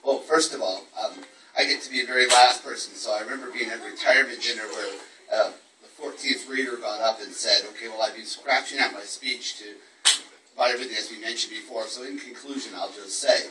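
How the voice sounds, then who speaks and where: A man's voice: mid-range voice, steady tone, brisk, noisy background. A man speaking as a poet at a live reading, moving between informal, wordy, self-deprecating remarks and his own verse. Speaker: poet reading own work at a book launch. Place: echoey room at a live event. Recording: roomy, distant event microphone.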